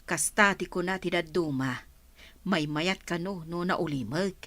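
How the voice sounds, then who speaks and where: A woman's voice: lowish voice, unhurried, a bit bright, dry recording. An actress playing a caring mother who gives her daughter advice in a radio drama. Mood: motherly.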